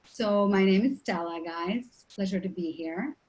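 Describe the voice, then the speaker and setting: A woman's voice: medium pitch, unhurried. A woman in her thirties from Albania with an Albanian accent, a guest talking in a casual interview with lots of ums and laughter. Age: 30s. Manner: animated, frequent ums and laughs.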